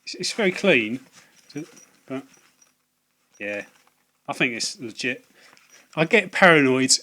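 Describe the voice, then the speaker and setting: Man, slightly hoarse, rambling vlog chat, hesitant, restarts a lot, wry asides. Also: medium pitch, sing-song, takes it slowly, bright, forceful tone. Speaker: video game collecting vlogger. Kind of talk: casual rambling vlog to camera.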